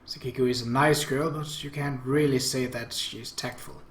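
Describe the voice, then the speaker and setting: A man hosting a let's play, reading a visual novel aloud and adding casual, halting commentary. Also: mid-range voice, fairly even intonation. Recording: echoey room.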